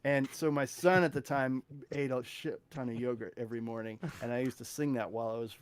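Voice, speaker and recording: A man's voice: medium pitch, a little fast, smooth. A man in his thirties, a guest composer chatting casually and rambling a little as he tells stories, now and then singing snippets. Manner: rambling, animated, sings snippets and acts out quotes. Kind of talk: casual interview chat.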